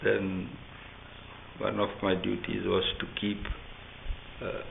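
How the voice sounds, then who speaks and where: An older man slowly recalling past work in an interview, pausing often with fillers. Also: fairly low pitch, completely flat delivery, vocal fry. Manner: halting, with many pauses and fillers. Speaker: interviewee recalling clinic history. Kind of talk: slow recollection in an interview. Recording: tinny lo-fi sound with faint hiss.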